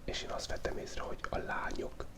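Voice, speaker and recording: A man whispers a playful, rambling, hesitant ASMR chat as host of a drawing channel, while he has a cold.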